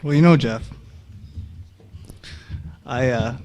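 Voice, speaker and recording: A man's voice: medium pitch, slow pace, darkish tone, highs cut off. A man trading casual comic banter with a fellow performer on stage before a live audience. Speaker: show partner. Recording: noisy live stage recording.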